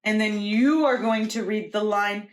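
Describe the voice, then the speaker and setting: A woman preschool teacher reading a children's storybook aloud in a deliberate, expressive storytelling way. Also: mid-range voice.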